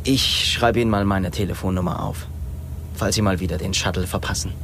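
An actor playing a young Saudi driver in a radio drama, informal, blunt and cynical. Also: male voice, low-pitched, fairly even intonation, a little fast, fairly bright tone, slight background noise. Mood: helpful.

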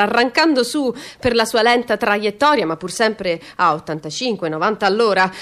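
A woman's voice: fairly high pitch, fairly bright tone. A woman giving a theatrical literary reading in a vivid, declamatory way. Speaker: stage reader.

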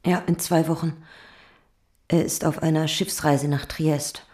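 A woman's voice: low-pitched, level tone, measured pace, darkish tone. An actress playing an anxious, hesitant mother in a radio drama, speaking plainly. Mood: worried, insistent.

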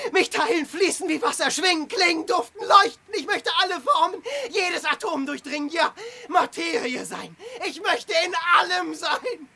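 Verzückte, knarzig-hohe Visionärin. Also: female voice, high voice, a bit bright, full character voice. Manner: rapturous, breathy whispers rising to cries. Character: ecstatic visionary yearning to dissolve into all living matter. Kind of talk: theatrical radio-play monologue.

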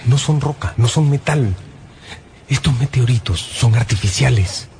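An actor playing an anxious, obsessive astronomer in a radio drama, insistent and emphatic, speaking in clipped, repeated phrases. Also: male voice, medium pitch, measured pace, a little mellow, slight background noise, natural voice. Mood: grave, worried. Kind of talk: Spanish-language radio drama acting.

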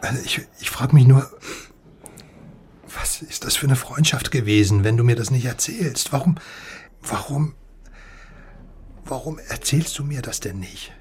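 An actor playing a gruff, loyal and hot-tempered male friend with a blunt, earthy manner in a radio crime drama. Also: medium pitch, a little slow, long pauses, a little mellow. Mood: quiet, hurt.

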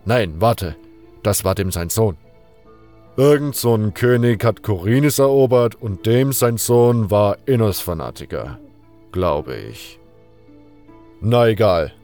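A man's voice: lowish voice, darkish tone, close to an everyday voice. A man voicing a blunt, unschooled adventurer in an audio drama, rambling along in a colloquial, unbothered way. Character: gruff, carefree male adventurer, unschooled and blunt. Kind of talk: fan audio drama dialogue.

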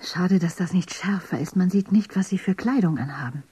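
An actress playing an awed, questioning woman from an underground dystopia in a German radio drama, husky and intimately insistent. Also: on the low side, fairly quick, warm tone, no room sound. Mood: hushed wonder.

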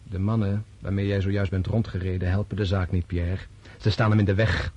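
An actor in a Dutch radio drama playing a disillusioned, resolute Russian officer prince, speaking in a deliberate, scornful way. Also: male voice, low voice, little pitch movement, a little mellow, breathy edge, dull audio. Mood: bitter, lecturing.

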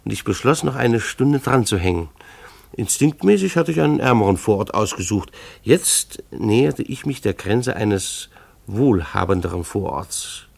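An actor playing a timid, needy husband turned soap peddler in a radio drama, hesitant and uneasy. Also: male voice, fairly low pitch, lively intonation, moderate pace, gravelly. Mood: wry, uneasy recollection. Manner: hesitant stammering when speaking to others. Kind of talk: radio drama narration and dialogue.

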